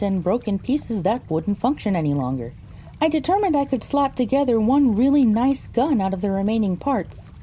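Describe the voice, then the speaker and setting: A woman narrates a scripted story in a high, soft-toned, mellow voice. Her reading is lively and varied, with faint noise behind it. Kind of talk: scripted audio drama narration, first person.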